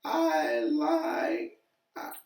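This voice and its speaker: A man voicing a frail, sick old mother in a storybook, with halting, quavering, drawn-out delivery. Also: extremely high pitch, monotone, creaky, pitched above the performer's own voice, full character voice. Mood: frail, tearful. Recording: echoey room, distant from mic.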